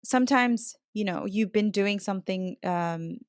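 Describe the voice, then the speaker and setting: A woman's voice: medium pitch, unhurried, no room sound, clean studio sound. A woman hosting a casual podcast interview about language learning, talking like a teacher to her listeners. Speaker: podcast host and English teacher.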